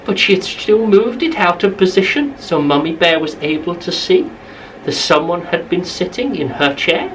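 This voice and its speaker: A woman's voice: lowish voice, expressive, normal speed, far from the mic, slight background noise, low-quality recording. A woman telling a bedtime story to young children, expressively, repeating phrases for emphasis, slightly away from the mic.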